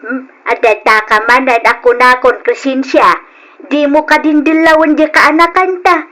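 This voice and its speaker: Kindly older village woman, high pitch, Ilocano accent, earnest, gently chiding, old radio drama. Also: steady tone, moderate pace. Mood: reproachful.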